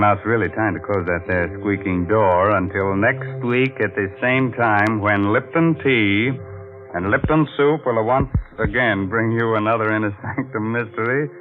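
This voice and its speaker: An actor playing a gleefully macabre 1940s radio horror host, droll and mock-sinister, on a muffled old recording. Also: male voice, lowish voice, natural voice.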